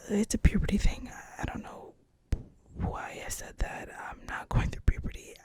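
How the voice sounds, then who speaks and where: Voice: moderate pace, natural voice. A whispering reader voicing an awkward, anxious teenage boy's inner thoughts. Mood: flustered. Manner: whispered, flustered inner monologue. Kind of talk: whispered screenplay reading.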